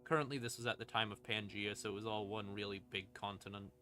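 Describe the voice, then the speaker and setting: A man streaming an informal lore explainer as a storyteller, with a theatrical, halting delivery and dramatic pauses. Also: lowish voice, flat delivery, sharp tone. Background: eerie ambient music.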